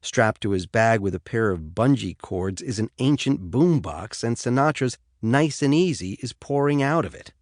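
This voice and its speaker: A man's voice: mid-range voice, expressive, moderate pace, few pauses, no room sound. An American man reading first-person fiction as an audiobook narrator, in a studio. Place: dry studio.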